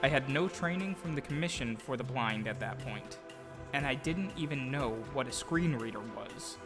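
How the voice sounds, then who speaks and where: A man's voice: medium pitch, expressive, moderate pace, music in the background. A man telling his own story as scripted audio drama narration, performing as the storyteller. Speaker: storyteller acting as himself.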